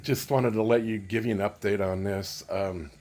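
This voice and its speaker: A man talking casually and unscripted as a vlogger showing gear, rambling and halting as he thinks aloud. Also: fairly low pitch, fairly even intonation, darkish tone, soft background music. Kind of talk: casual unscripted show-and-tell.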